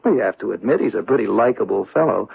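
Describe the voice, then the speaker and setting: Breezy, gravelly husband, tinny radio. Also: male voice, fairly low pitch, expressive, quick pace, full character voice. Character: breezy, affable, unsuspecting suburban husband. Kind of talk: old-time radio drama acting. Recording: tinny, band-limited vintage radio broadcast.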